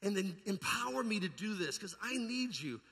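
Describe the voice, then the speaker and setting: High, bright-voiced preacher in reverberant hall. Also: male voice, sing-song, normal speed, husky. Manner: fervent, emphatic, repeats phrases for emphasis; puts rhetorical questions to the congregation. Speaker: pastor preaching to a congregation. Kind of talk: charismatic evangelical sermon. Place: large indoor church hall. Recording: long hall reverb, amplified church sound.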